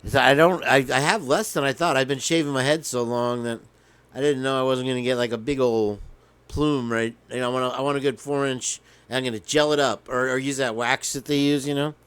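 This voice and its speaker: A man's voice: mid-range voice, steady tone, brisk, fairly bright tone, clean tone, no room sound. Rambling man with a crackly voice. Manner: rambling, joking, sings off-key. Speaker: livestream host. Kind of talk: casual livestream chat with guitar. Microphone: headphones with mic.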